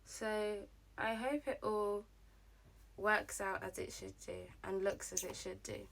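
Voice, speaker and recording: A young woman chatting casually through her get-ready-with-me routine, rambling with lots of fillers, her voice slightly hoarse. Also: medium pitch, fairly even intonation, takes it slowly, a bit bright, a little hiss or hum. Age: young adult. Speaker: vlogger.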